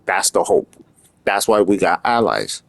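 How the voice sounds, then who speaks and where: Low-voiced man ranting earnestly. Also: sing-song, unhurried, creaky, no echo. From Puerto Rico. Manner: earnest, opinionated, talks in loose rambling asides. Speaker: vlogger. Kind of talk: casual opinion monologue.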